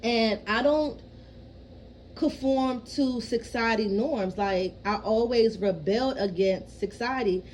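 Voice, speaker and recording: A woman's voice: a little high, takes it slowly, darkish tone, a little hiss or hum, poor audio. Woman, thirties, casual vlog talk, frank, curses a lot, keeps saying you know what I mean. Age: 30s. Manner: frank and blunt, swears freely. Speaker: vlogger.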